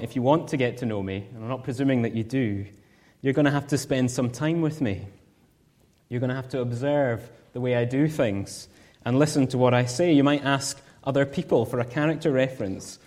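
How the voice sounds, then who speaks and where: A married Scottish man giving a sermon as a guest preacher, earnest and measured, with rhetorical questions. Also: mid-range voice, darkish tone. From Scotland.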